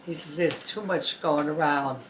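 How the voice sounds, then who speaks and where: Woman in her 90s, gravelly throat, low voice, rambly old family stories w lots of uh's, a little background hiss. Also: echoey room, low-quality recording. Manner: rambling, halting with many uh's. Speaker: birthday celebrant. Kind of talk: casual family storytelling.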